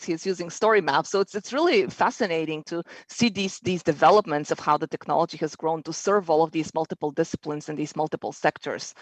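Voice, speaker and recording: A woman's voice: fairly low pitch, no echo, low-quality recording. A middle-aged woman from Bulgaria, giving a friendly conference keynote over a video call, with frequent ums and restarts. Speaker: keynote speaker and educator.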